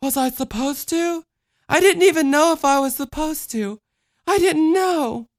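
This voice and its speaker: Someone putting on a high, whiny, grouchy little girl. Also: a little slow. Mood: tearful, pleading. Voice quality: small high childlike voice. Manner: whiny, petulant. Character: distraught grouchy little girl, whining and indignant.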